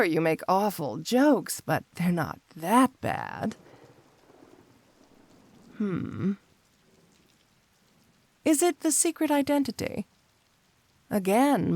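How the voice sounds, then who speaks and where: A woman playing a flirty, seductive cat-burglar supervillain in an intimate ASMR roleplay, coaxing and teasing in an unhurried way. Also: fairly low pitch, a little mellow, dry recording, heavily acted. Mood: coaxing, sympathetic.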